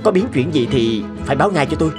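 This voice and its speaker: Young male cartoon doctor, curious and thoughtful, animated delivery over soft music male actor. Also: on the high side, quick pace, lightly acted. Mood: firm, instructing. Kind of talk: cartoon dubbing, educational storytelling. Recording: music bed under dubbed dialogue. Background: background music.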